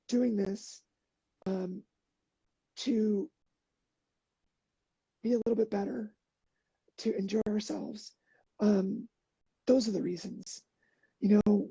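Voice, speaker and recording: A middle-aged woman talking earnestly in an interview, halting with many fillers and restarts, a little hoarse. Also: medium pitch, mellow, dry recording, dull audio. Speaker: podcast guest, endurance athlete. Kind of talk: casual interview talk.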